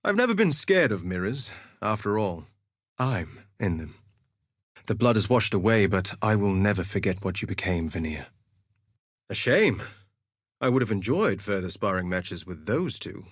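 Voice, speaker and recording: An actor playing a vain, flirtatious gladiator duelist in a video game, with a theatrical, suave and sardonic delivery. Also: male voice, lowish voice, very animated, moderate pace, a bit bright, no room sound, studio quality. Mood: cocky, theatrical, then somber, regretful. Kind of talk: scripted game voice lines, theatrical quips.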